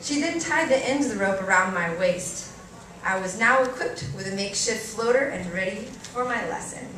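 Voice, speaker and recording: A woman reading a first-person story aloud at a live event, expressively and theatrically, with deliberate pauses and varied emphasis. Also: medium pitch, animated, fairly bright tone. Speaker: reader performing a short story at a live event. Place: live reading in a cafe venue. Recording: echoey room, distant mic, faint noise.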